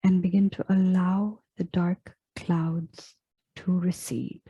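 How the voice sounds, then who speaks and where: Very slow, soft-spoken woman guiding meditation. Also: lowish voice, flat delivery, slightly rough, no room sound, muffled recording. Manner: gentle, hushed, measured with long pauses. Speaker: meditation guide and yoga teacher. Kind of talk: slow guided meditation, scripted.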